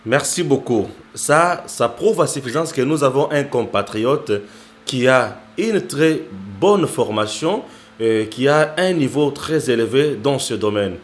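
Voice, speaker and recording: A man's voice: medium pitch, animated, unhurried. Formal, emphatic male show host. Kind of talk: interview hosting.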